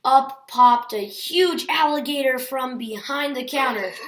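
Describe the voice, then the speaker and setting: A woman's voice: high voice, a little slow, a bit bright, a little hiss or hum. A woman reading a children's picture book aloud as a storyteller.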